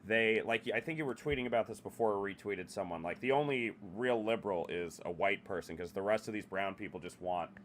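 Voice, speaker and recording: A man's voice: lowish voice, fairly quick, few pauses, slight background noise. A young man hosting a podcast in casual chat, blunt and profane, ranting about his opinions. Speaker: podcast host.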